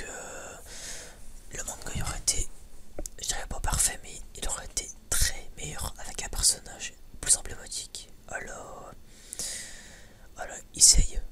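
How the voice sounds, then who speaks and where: A man whispering breathily in a casual, unscripted ASMR style, rambling hesitantly with many false starts. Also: bright, forceful tone. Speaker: ASMR video creator.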